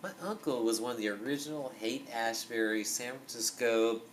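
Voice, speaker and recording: A young man hosting a podcast in a small basement room, talking in an animated, rambling way with lots of fillers and swearing, picked up by a camera mic across a table. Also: medium pitch, moderate pace, slight background noise, low-quality recording. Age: young adult. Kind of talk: casual chat.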